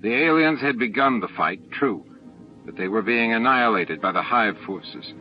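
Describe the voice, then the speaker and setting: A man's voice: medium pitch, expressive, normal speed. A man reading scripted fiction aloud as a dramatic audiobook narrator. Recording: dull lo-fi old audiobook tape. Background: music and added sound effects.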